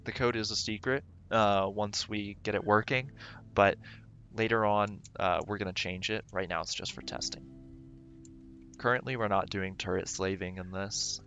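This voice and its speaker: A man streaming a game, rambling casually and thinking aloud with lots of fillers, his voice going raspy. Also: low-pitched, fairly bright tone, highs cut off. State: voice getting raspy. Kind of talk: casual gameplay livestream commentary.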